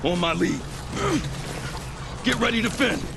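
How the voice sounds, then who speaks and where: Gravelly, high-strung military squad leader. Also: male voice, lively intonation, a little slow, heavily acted. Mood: grim, resolute. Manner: urgent, commanding. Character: battle-hardened male military squad leader, gritty and loyal. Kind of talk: cinematic video game acting. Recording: loud music and vehicle noise behind.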